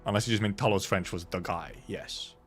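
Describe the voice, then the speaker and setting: Low-voiced man giving offhand game commentary. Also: a little fast. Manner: offhand, wry, muttered asides. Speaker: streamer. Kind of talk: casual game reaction commentary. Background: faint game music.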